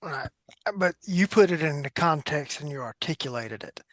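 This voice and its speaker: A man's voice: fairly high pitch, animated, moderate pace, no room sound. A man hosting a panel discussion as a trainer, explaining his points emphatically in conversational speech. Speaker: panel host, firearms trainer.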